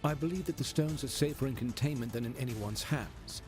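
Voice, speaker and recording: A man playing a formal, measured android. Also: mid-range voice, over music, close to an everyday voice. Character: calm, precise male android.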